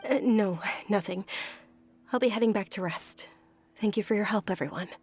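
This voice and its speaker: A woman playing a frail, ailing young noblewoman in a video game, speaking softly, politely and with gentle resignation. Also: medium pitch, moderate pace, natural voice. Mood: sad, subdued. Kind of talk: video game character dialogue.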